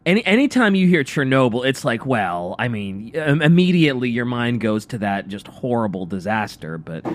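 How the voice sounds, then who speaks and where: Animated male co-host, casual and halting. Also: medium pitch, a little hiss or hum. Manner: halting, repeats phrases. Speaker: radio show co-host. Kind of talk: casual reactive chat. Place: radio studio.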